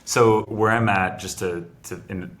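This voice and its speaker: A man's voice: fairly low pitch, steady tone, unhurried, fairly bright tone. A middle-aged man from France, a founder on a video call, explaining a long process step by step with restarts. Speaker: biotech founder and guest. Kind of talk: casual video interview.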